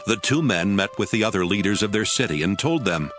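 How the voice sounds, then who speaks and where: Male narrator for audio Bible, fairly low voice, scripted storytelling over clear music. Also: lively intonation, fairly quick, fairly bright tone. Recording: music bed under the narration.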